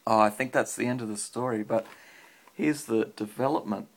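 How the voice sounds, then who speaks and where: An Australian man with a husky, creaky voice, reading a picture book aloud and chatting simply with a child as a parent would. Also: lowish voice, normal speed, background noise.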